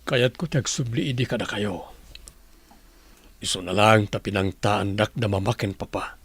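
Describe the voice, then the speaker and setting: An actor in a radio drama playing an earnest, remorseful husband who pleads with devotion. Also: male voice, fairly low pitch, normal speed, a bit bright, slightly rough. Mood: remorseful, tender.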